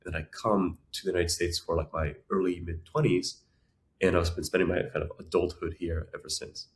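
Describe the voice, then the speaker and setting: A man who grew up in Ukraine and Israel speaking Russian and Ukrainian, giving measured, conversational explanations as an interview guest. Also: low voice, flat delivery. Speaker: podcast guest, entrepreneur.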